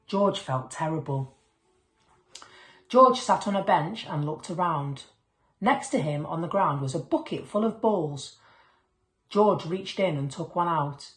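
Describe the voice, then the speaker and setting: A woman reading a children's picture book aloud as a storyteller, expressively acting out the dialogue. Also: lowish voice. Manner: expressive, acts out dialogue and sound words. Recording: echoey room.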